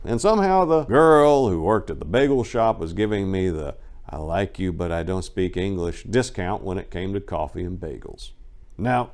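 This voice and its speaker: A man narrates a first-person life story in a low-pitched voice. His reading is dramatic and expressive. He leans hard on some phrases and drops softer on others, as a storyteller would.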